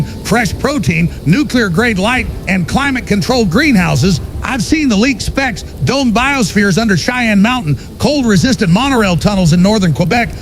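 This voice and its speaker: High-pitched paranoid doomsday ranter played by a man. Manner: accusatory, rhetorical, emphatic. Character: paranoid male doomsday conspiracy broadcaster, ranting, defiant. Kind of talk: apocalyptic monologue in a fictional audio story.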